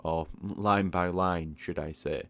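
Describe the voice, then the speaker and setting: Low-voiced man explaining things casually. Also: fairly even intonation, slow. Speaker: art tutorial host. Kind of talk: casual explanatory voice-over about a drawing process.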